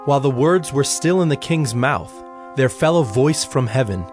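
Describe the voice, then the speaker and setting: A man narrating scripture as a scripted story, in a solemn, measured way. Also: mid-range voice, lively intonation, a little mellow, soft background music.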